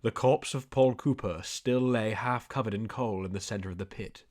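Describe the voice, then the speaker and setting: A man's voice: fairly low pitch, normal speed, darkish tone. A man reading scripted narration for a comic fantasy audiobook.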